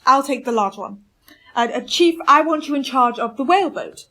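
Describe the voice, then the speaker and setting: A woman's voice: high-pitched, animated, moderate pace, pushed and bright, full character voice. A woman playing a firm, authoritative expedition captain in a radio play, both giving orders and reading out a log. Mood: stern, commanding. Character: commanding expedition ship captain keeping a log.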